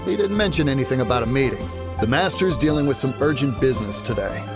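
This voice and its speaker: An actor playing a jittery, servile household guard in a video game, with a nervous, apologetic manner. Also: male voice, medium pitch, expressive, fast, loud music behind the voice, close to an everyday voice. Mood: wary.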